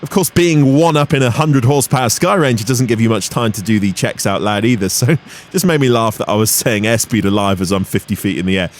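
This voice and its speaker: A man's voice: on the low side, fairly quick, few pauses. A man pilot giving casual, self-critical, explanatory flight commentary and short radio calls. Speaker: pilot and vlogger. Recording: engine noise in light aircraft. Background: aircraft engine hum.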